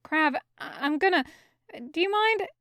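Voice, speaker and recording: A woman voicing an awkward, hesitant man who speaks in halting, reassuring phrases as a peacemaker in an audiobook. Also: extremely high pitch, animated, a bit bright, no room sound, studio quality, voice pushed up, exaggerated voice. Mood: awkward, placating. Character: awkward, hesitant man gently trying to calm things. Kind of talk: audiobook character acting.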